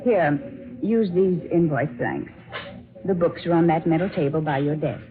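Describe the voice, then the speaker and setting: A woman's voice: sits low, a little slow. An actress playing an efficient female office supervisor, precise and businesslike, in an old-time American radio drama. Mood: formal, businesslike. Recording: dull, lo-fi old radio recording.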